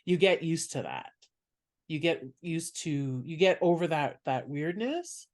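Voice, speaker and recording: Soft-voiced man, a comedian guest. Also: a little high, measured pace. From Canada. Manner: reflective, explains at length. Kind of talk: casual interview chat.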